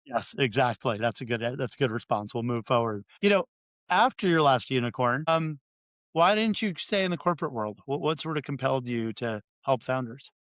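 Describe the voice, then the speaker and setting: A man's voice: mid-range voice, a little fast, a bit bright, no echo. A man hosting a podcast, chatting in a conversational, joking way. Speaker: podcast host. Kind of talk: podcast interview.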